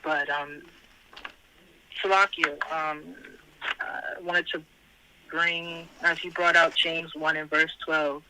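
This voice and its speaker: A slightly hoarse man giving an informal Bible study over a conference phone line, reading verses aloud and explaining them in hesitant, repetitive phrasing. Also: a little high, level tone, slow, long pauses, bright, forceful tone, a little hiss or hum.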